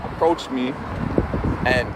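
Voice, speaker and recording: A man's voice: mid-range voice, slow. A man firmly insisting on his rights while talking with police outside. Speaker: citizen filming police. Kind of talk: confrontational street conversation. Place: outdoors by a street. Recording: loud outdoor traffic and wind noise.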